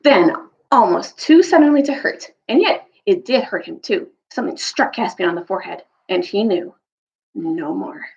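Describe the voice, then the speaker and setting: A woman speaking as a teacher and storyteller in a casual, chatty way, halting and often restarting her phrases. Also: medium pitch, expressive, gravelly. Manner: halting, informal, self-interrupting. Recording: dull lo-fi recording.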